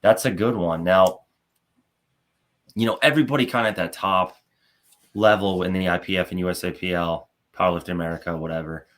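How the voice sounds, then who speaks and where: A man hosting a podcast, talking in a relaxed, conversational way and asking his guest questions. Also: sits low, fairly quick. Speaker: podcast host. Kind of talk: casual podcast interview.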